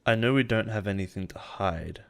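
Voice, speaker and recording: An actor playing a possessive male boss in an intimate roleplay audio drama, coaxing and quietly menacing. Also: on the low side, moderate pace, vocal fry, smooth. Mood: agitated ranting. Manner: soft-spoken coaxing, chuckles and sighs, quietly menacing.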